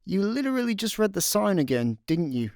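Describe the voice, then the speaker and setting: A man's voice: high-pitched, very animated, brisk, darkish tone, no room sound. A Scottish man playing a laid-back, wry space slacker in a comedic radio play, dry and matter-of-fact. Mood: wry, casual.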